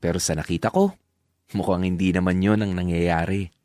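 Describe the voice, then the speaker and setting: A man's voice: sits low, fairly even intonation, moderate pace, warm tone, faint music behind, natural voice. A man with a Filipino accent acting a jealous, sulking young man narrating his own story in a drama. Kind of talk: first-person drama narration.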